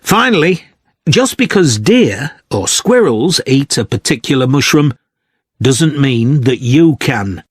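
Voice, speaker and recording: A man playing an author who gives a measured, instructive informational talk in a scripted listening recording. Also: medium pitch, big pitch swings, husky, no echo, studio quality, exaggerated voice. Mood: measured, advising. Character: male author giving practical advice on wild mushrooms. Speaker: guest speaker on foraging.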